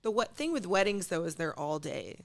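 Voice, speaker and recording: A woman with a raspy voice and a cold, telling stories casually as a guest, slangy and acting out what people said. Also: fairly low pitch, very animated, moderate pace. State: sick with a cold. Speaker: guest, musician and wedding singer. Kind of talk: casual chat, candid storytelling.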